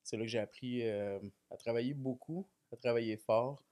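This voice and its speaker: A man in his thirties with a Quebec French accent, a podcast guest telling his life story in a relaxed, self-deprecating way with many fillers. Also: medium pitch, normal speed. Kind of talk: casual chat.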